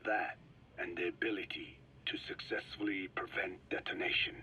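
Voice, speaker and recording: An actor playing a frail, regretful elderly Navajo scientist in a video game, speaking slowly and solemnly in a breathy, whispery rasp. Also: male voice, low voice, flat delivery, bright, forceful tone, lo-fi sound. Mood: regretful, grave. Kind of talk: dramatic video game voice acting.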